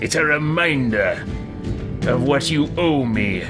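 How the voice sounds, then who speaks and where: A man roleplaying a blunt adventurer hero in a game, with emphatic, drawn-out delivery. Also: mid-range voice, lively intonation. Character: blunt, smitten adventurer hero improvised by a player. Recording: game music behind.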